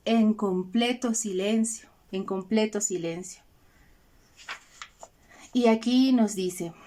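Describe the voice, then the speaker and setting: Gentle Peruvian woman hosting a faith program. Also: mid-range voice. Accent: Peruvian Spanish. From Peru. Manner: gentle, addresses listeners directly, slows for emphasis. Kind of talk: reflective spiritual talk with readings.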